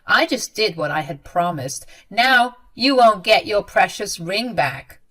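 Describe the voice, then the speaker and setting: A woman's voice: mid-range voice, lively intonation, a little slow, fairly bright tone. A snobbish, middle-aged mother-in-law, as a woman narrator plays her, with a haughty and scornful way of speaking. She is cruel and full of her own status in this dramatized story. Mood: gloating.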